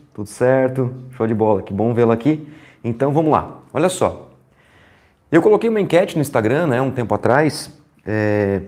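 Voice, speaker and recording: A Brazilian man with a slightly hoarse, stuffy voice, teaching on a live stream in an easy, chatty way. He greets viewers by name as he goes and keeps asking whether everyone is following along. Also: medium pitch, a little mellow. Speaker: strength training educator hosting a live stream. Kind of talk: casual live-stream lesson.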